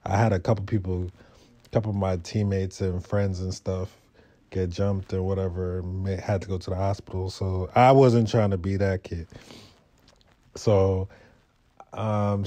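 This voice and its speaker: Low, mellow New man. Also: fairly even intonation, moderate pace. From Brooklyn, New York. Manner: laid-back, slangy street talk. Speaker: motovlogger. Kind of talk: casual storytelling vlog.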